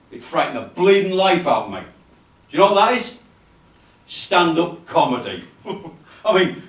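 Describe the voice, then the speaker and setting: A man's voice: fairly high pitch, a bit bright. A man voicing a brash, swaggering male workmate full of tea-room banter in a comic stage monologue. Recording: echoey room, distant camera microphone.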